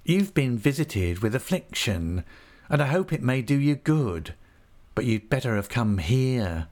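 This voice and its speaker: A man's voice: mid-range voice, sing-song, a little slow, darkish tone, voice pushed up. A man reading an audiobook, voicing a falsely humble, scheming young male clerk with an oily, cringing manner. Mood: smugly forgiving. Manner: oily, cringing humility with a whining edge. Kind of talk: audiobook character voice.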